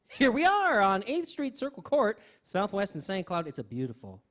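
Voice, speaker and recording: A man hosting a radio variety show with semi-scripted comedy, speaking haltingly and often repeating his words. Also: sits high.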